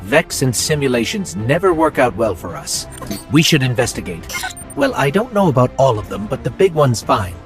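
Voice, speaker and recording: A man's voice: medium pitch, very animated, normal speed, music in the background. A man voicing a small robotic game companion, earnest, curious and a little anxious, talking in a chatty, questioning way. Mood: alert, businesslike. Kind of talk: scripted game dialogue.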